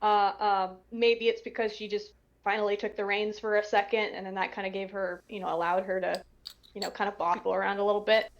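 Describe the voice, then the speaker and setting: A woman's voice: medium pitch, level tone, brisk, highs cut off. A woman giving casual panel commentary in a hesitant, tentative way, often trailing off into asides. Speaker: audio drama cast or crew member giving commentary.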